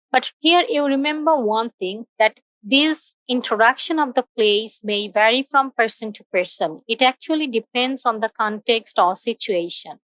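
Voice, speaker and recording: A young woman, teaching a scripted English lesson slowly and carefully, repeating example sentences. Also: on the high side, a bit bright, no echo, poor audio.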